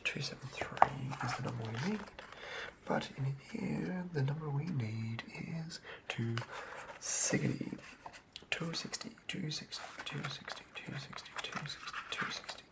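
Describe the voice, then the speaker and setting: A man's voice: medium pitch, a little slow, gravelly. A man doing casual ASMR, thinking aloud as he writes and often murmuring words over again. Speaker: ASMR channel host. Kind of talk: whispered ASMR, tabletop game monster writing.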